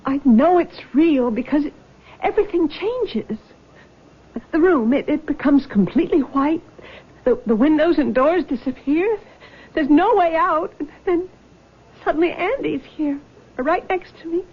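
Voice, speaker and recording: A woman's voice: sits high, expressive, a little slow. An actress playing a distraught, guilt-ridden young woman in a radio drama, plaintive, pleading and tremulous. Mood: haunted, pleading. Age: young adult. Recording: muffled old radio broadcast.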